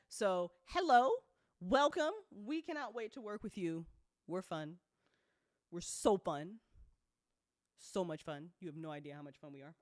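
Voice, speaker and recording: A woman's voice: lowish voice, no room sound, studio quality. A woman hosting a casual, chatty recap for her community, effusive and freely sweary, often repeating phrases for emphasis. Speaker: host, audio drama creator.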